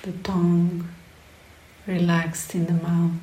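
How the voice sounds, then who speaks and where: A woman meditation teacher speaks in a slightly low, mellow voice with very little rise and fall. She offers guidance in short phrases, leaving long pauses between them. The sound is roomy and echoing. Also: monotone. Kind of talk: guided meditation.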